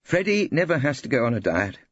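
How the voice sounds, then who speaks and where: A man's voice: mid-range voice, very animated, a little fast, no room sound, lo-fi sound, voice pushed up. A man voicing a proud, confiding, trusting married woman in an audiobook. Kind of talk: audiobook character dialogue.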